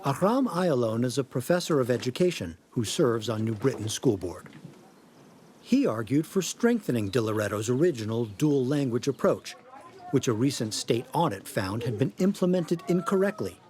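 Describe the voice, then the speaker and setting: A man's voice: mid-range voice, very animated, moderate pace, darkish tone, slight background noise. An American man reading scripted news narration as a correspondent.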